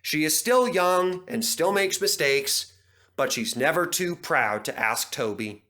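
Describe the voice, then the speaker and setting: Male storybook narrator; high, animated, expressive read. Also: unhurried.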